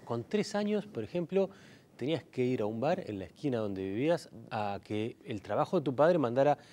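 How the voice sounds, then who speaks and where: A man's voice: mid-range voice, lively intonation, moderate pace, mellow. A man, interviewing and affirming with short interjections. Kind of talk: interview questions and backchannel.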